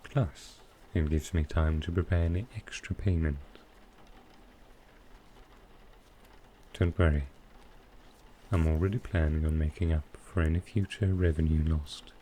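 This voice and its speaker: A man playing a smooth, flirtatious supervillain in an intimate ASMR roleplay, teasing and unhurried. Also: a bass voice, steady tone, slight background noise. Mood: relaxed, assured. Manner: teasing, unhurried, softly suggestive. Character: smooth, flirtatious male supervillain courting his tailor.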